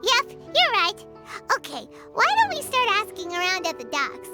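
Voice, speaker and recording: A woman voicing a small, childlike fairy companion in a video game, squeaky, bubbly and chatty. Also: very animated, normal speed, loud music behind the voice, exaggerated voice. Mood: cheerful, helpful. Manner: refers to herself in third person, bubbly. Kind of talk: video game character acting.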